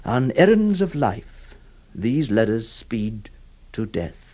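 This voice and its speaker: A compassionate, troubled lawyer telling his story in a radio drama. His phrasing is slow, solemn and halting, with a wide-swinging, expressive melody in a middle-pitched male voice. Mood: anguished, grieving. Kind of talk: dramatic radio narration, literary.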